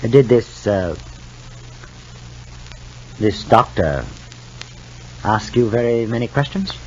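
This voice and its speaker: An actor playing a stern, formal father in a 1950s radio soap, questioning in a measured, halting way. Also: mid-range voice, animated. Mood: suspicious, probing. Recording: dull, noisy 1950s radio recording.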